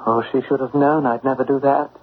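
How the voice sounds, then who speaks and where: An actor playing a stubborn, loyal young gentleman in love in a classic radio drama, earnest, wounded and formal. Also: medium pitch, fairly even intonation, a little fast, lightly acted. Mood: earnest, yielding. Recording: phone-like old radio recording, faint noise.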